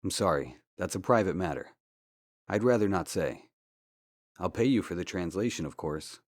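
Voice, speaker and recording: An actor playing a polite, earnest amateur investigator in an adventure game, speaking in a courteous, measured way. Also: male voice, low voice, lively intonation. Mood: guarded, courteous. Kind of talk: scripted point-and-click game dialogue. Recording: music under the dialogue. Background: background music.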